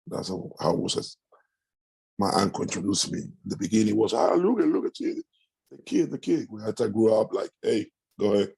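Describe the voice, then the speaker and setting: Middle-aged Cuban man, Spanish speaker, gravelly and husky, halting answers. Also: on the low side, darkish tone, dry recording, low-quality recording. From Cuba. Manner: halting, with frequent restarts and fillers. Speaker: musician guest. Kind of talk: interview answers.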